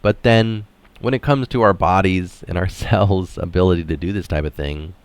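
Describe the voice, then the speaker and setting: A man's voice: deep voice, normal speed, clear, resonant tone, no room sound. A man reading an article aloud in narration style, dropping in casual, opinionated asides of his own. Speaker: reader of an article adding his own comments.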